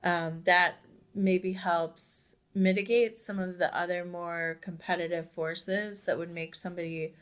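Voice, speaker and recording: A young woman with a slightly low voice co-hosts a conversational podcast. She speaks with emphasis and peppers her sentences with "like" as she talks things through in a casual way. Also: fairly even intonation, a little slow, smooth. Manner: emphatic, says "like" very often. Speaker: podcast co-host. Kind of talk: casual discussion.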